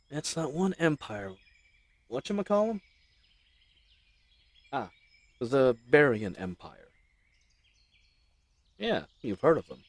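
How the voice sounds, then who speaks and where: A man's voice: medium pitch, no echo. A man playing a chatty, roguish bandit leader in an audio roleplay, with folksy slang and teasing mock courtesy. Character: roguish, chatty, friendly male bandit leader, mockingly polite. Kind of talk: scripted audio roleplay addressed to the listener.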